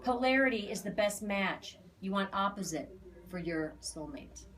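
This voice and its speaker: An American woman explaining an aura reading to clients in a casual, emphatic, animated way, repeating phrases for emphasis. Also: mid-range voice, normal speed. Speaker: aura reader.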